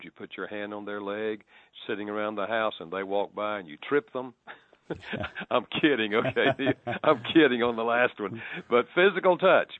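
A man from the American South talks as a radio guest, folksy and explanatory. His voice sits low, with a dry, phone-line sound that thins it out. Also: no echo. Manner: folksy, explanatory, chuckles at own jokes. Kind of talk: radio interview.

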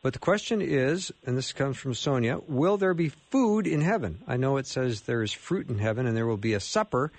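Male American call-in radio host, casual live delivery, mid-pitched, very dry close sound. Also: expressive, moderate pace, hardly any pauses, dry recording.

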